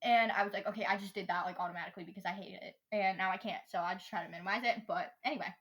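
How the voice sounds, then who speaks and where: A woman's voice: medium pitch, steady tone, racing, a bit bright. A young teenage girl co-hosting a casual podcast chat, blunt and teasing, often cutting in. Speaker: podcast co-host.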